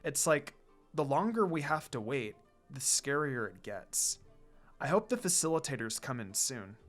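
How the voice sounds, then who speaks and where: A man's voice: mid-range voice, very animated, normal speed, fairly bright tone. A man reading a fan-written story aloud as a dramatic first-person narrator. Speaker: fanfiction reader. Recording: background music under the voice. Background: music.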